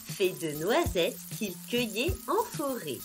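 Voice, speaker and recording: A woman narrating fairy tales for small children in an expressive, deliberate scripted style. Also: mid-range voice. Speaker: children's storyteller. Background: music.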